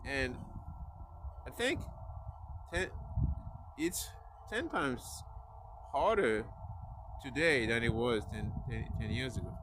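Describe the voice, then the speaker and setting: A man speaking English as a later language, giving an earnest motivational talk to his viewers, with many restarts and pauses. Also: medium pitch, animated, background noise. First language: not English. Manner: halting, restarts words, earnest. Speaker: vlogger.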